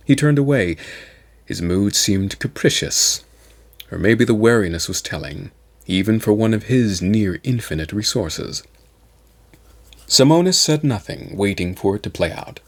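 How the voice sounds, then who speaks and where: A man reading aloud and chatting as an amateur host, halting and self-deprecating in manner. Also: fairly low pitch, expressive. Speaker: amateur audiobook reader and channel host. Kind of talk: narrated novel reading and casual vlog chat.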